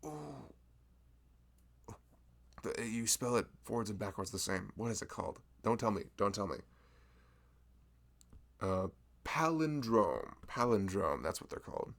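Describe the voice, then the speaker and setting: A man plays a friendly young florist in an ASMR roleplay, who chats with a customer in a low, gravelly voice. His pitch swings widely as he rambles, laughs often and pokes fun at himself. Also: very animated, unhurried, long pauses, a bit bright, heavily acted. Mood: chatty. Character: chatty nerdy young male florist, friendly.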